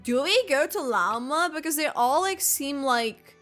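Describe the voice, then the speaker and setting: A woman's voice: high voice, animated, a little slow, fairly bright tone, faint music behind. A woman streaming a video game, giving casual reaction commentary in a rambling way, often trailing off mid-thought.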